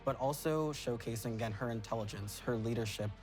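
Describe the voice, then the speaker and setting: A man's voice: mid-range voice, brisk, a little mellow, soft background music. A man speaking articulately and emphatically as a game developer in a promo interview.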